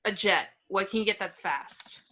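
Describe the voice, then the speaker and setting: A woman's voice: mid-range voice, moderate pace, pushed and bright, dull audio. A woman playing an anxious, take-charge superhero mother in an amateur online table read. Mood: businesslike.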